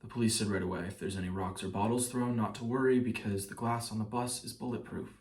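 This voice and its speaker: A man performing a stage monologue as a shaken, bitter trial juror, speaking haltingly like someone giving testimony. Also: fairly low pitch, steady tone, darkish tone, lightly acted. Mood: subdued, haunted. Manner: halting, with pauses and repeated phrases. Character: shaken male trial juror, hounded, guilt-ridden and bitter. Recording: roomy echo, distant mic.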